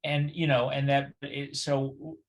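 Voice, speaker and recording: A middle-aged man on a video call, asking a question with many pauses, "um"s and restarts. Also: medium pitch, flat delivery. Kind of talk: hesitant spoken question.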